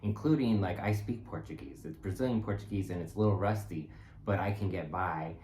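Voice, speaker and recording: A man co-hosting a travel vlog, chatting casually in a relaxed, halting way with many fillers. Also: low voice. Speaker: vlog co-host. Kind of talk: casual chat. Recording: echoey room with faint noise.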